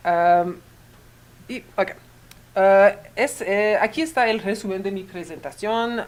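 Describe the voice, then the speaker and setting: Woman, native French speaker, French accent, guest lecturer, careful halting delivery, mid pitch, faintly noisy auditorium recording. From Canada. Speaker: guest lecturer, psychology professor. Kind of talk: academic presentation. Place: university auditorium.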